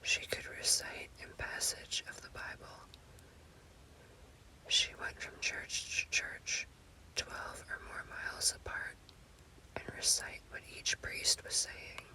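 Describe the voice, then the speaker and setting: A woman narrates a scary story as ASMR in an unvoiced, breathy whisper, in deliberate short phrases. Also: bright, forceful tone, low-quality recording. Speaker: storyteller, host.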